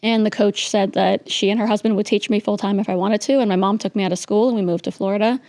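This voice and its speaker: A woman's voice: mid-range voice, fairly even intonation, quick pace, darkish tone, no room sound. Young woman guest from New York City, casual storyteller with lots of fillers, dry close mic. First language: Russian. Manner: chatty, laughs often, many fillers. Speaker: podcast guest. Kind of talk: casual interview chat.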